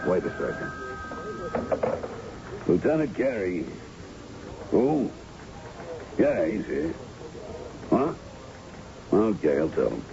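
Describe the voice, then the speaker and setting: An actor with an American accent playing a gruff, cautious police lieutenant in a 1970s-style radio drama. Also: male voice, low-pitched, very animated, slow, pauses often, heavily acted. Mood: wary, reluctant. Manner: blunt, colloquial. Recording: dull, noisy old radio recording.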